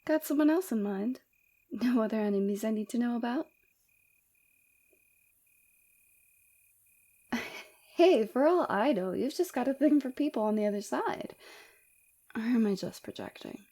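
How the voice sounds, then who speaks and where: Casting: woman to play seductive villainess for ASMR roleplay; soft, dark-toned, breathy delivery, coy and teasing, close on mic. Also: on the high side, expressive, normal speed.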